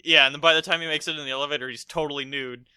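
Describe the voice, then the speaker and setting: Bright, sharp-voiced American man, casually sweary. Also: a little high, steady tone, rapid-fire, clear, resonant tone. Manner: profane, rambling storytelling. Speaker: podcast co-host. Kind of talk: casual film review chat.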